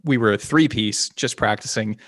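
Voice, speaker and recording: A man who sings and plays guitar in a band, talking in a slightly low voice with a touch of nasal tone. He rambles easily through his answers, doubling back on words and restarting sentences. The sound is dry and close. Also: normal speed, dry recording. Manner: relaxed and rambling, with many restarts and small laughs. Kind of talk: casual interview conversation.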